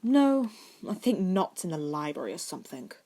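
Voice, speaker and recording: A woman's voice: fairly low pitch, sing-song, normal speed, dark tone. A woman voicing a dramatic, suspicious eleven-year-old schoolboy in an audiobook, emphatic and haughty. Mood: offhand. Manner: emphatic, indignant.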